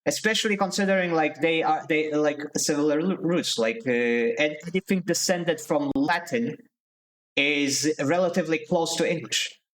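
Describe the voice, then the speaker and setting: A young man, his voice sitting a little on the high side. He streams casually and gets fired up, swearing freely and stumbling over restarts as he rants. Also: moderate pace, fairly bright tone, poor audio. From Slovakia. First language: Slovak. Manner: heated ranting with profanity and restarts. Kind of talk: casual live-stream commentary.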